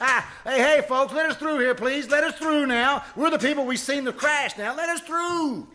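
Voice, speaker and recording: Man acting a high-voiced, blustering folksy braggart. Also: normal speed, sharp tone. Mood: blustering, boastful. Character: blustering, boastful, folksy husband and tall-tale teller. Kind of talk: old-time radio comedy acting. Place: live stage performance at a winery.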